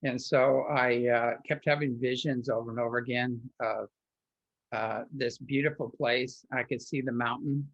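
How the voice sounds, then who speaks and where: A man interviewed as a guest, telling personal stories in a rambling way, restarting often and filling pauses. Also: medium pitch, dry recording, highs cut off. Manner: rambling, with frequent restarts and filler words.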